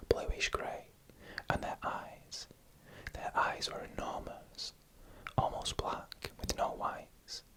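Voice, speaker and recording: A narrator whispering scary stories in ASMR style, slowly and deliberately, with pauses between short phrases. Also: poor audio.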